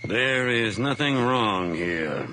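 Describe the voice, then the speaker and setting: An actor playing a calm, measured police officer asking probing questions in a radio drama. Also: male voice, mid-range voice, background noise.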